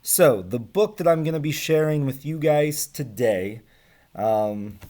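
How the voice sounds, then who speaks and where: An American man in his thirties teaching casually to camera, explaining things in plain, simple words with pauses. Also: on the high side, animated, mellow. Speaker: teacher reading aloud to students. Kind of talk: casual read-aloud lesson to camera. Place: small room at home with bookshelves. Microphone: webcam or laptop microphone at desk distance.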